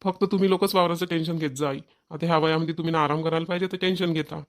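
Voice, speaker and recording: A performer plays a grown village son and husband in a cartoon, speaking fast with a rural Maharashtrian accent. He is colloquial and insistent, caring yet a little nagging. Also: medium pitch, steady tone, natural voice. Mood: concerned. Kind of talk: Marathi family cartoon voice acting.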